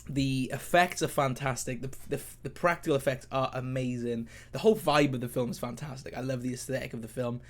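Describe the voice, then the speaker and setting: A man with a mid-pitched voice talks informally to his viewers about films. He rambles keenly, leaning on emphatic words and repeating himself, and a mouth ulcer makes his speech sound slightly impeded. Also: fairly even intonation, brisk, few pauses. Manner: enthusiastic, informal, emphatic and repetitive. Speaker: podcast host, film reviewer. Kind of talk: rambling casual film chat to viewers.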